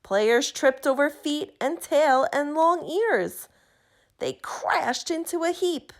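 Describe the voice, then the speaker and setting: A woman reading a children's picture book aloud in an expressive, deliberate, emphatic way. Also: sits high. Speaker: storybook reader.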